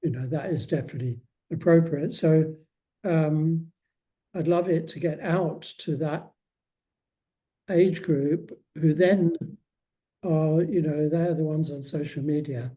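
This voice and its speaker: A man's voice: a little high, steady tone, unhurried, dark tone, poor audio. A man speaking as a guest author in a casual interview, often hesitating and restarting his phrases.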